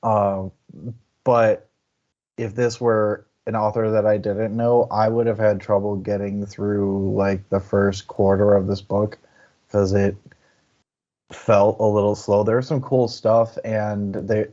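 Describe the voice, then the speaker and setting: A man's voice: on the low side, level tone, slow pace, warm tone, dull audio. A man co-hosting a book discussion stream in an informal, conversational way. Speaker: podcast co-host. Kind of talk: casual book-chat livestream hosting.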